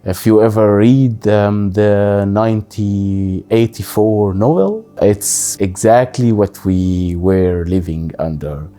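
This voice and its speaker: Man, thirties, deep voice, unhurried, halting with pauses. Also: mellow, faint music behind. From Syria. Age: 30s. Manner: halting, thoughtful, many pauses. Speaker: interviewee. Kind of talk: personal interview, life story.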